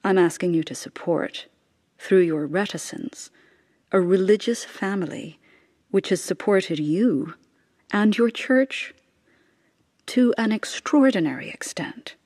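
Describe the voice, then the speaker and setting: A woman's voice: medium pitch, darkish tone, slightly rough, no room sound. A desperate, proud mother, close and dry. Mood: desperate, insistent. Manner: halting, deliberate, insistent phrasing. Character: desperate, proud middle-aged mother pleading for her son. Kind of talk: radio drama acting.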